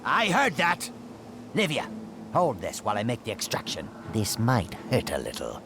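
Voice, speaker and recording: An actor playing a cranky, arrogant old gnome-like inventor in a fantasy video game, barking with impatient scorn. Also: male voice, mid-range voice, huge pitch swings, moderate pace, background noise. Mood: irritated. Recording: clear music underneath.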